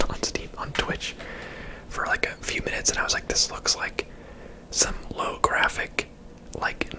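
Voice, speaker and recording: A person giving casual, unhurried ASMR gaming commentary in a soft, breathy whisper close to the microphone. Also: poor audio.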